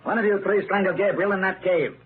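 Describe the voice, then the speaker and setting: An actor playing a gruff older police inspector who interrogates bluntly in a 1930s radio drama. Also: male voice, high voice, steady tone, brisk, lightly acted. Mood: accusing. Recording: tinny, noisy 1939 radio broadcast.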